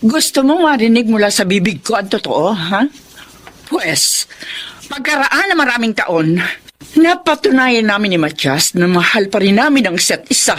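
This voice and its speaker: A woman's voice: medium pitch, big pitch swings, moderate pace, fairly bright tone. An actress with a Filipino accent playing a bitter, hard-hearted middle-aged mother in a melodramatic radio drama. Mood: scornful, defiant.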